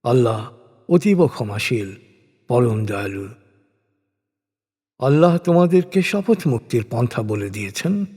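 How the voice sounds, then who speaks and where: A man reading a scripture translation as a solemn scripted narration, in measured, formal phrases that fall at the ends. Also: mid-range voice, darkish tone, slightly rough. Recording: long hall-like reverb.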